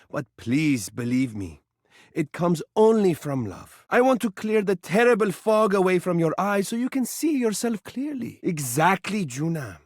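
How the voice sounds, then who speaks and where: A male actor voicing a suave living mirror in a video game, an adoring flatterer who gushes with theatrical drama. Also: high voice, dry recording, clean studio sound, full character voice. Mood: gentle, earnest. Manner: effusive, dramatic, gushing, doting. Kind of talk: video game character voice acting, romantic.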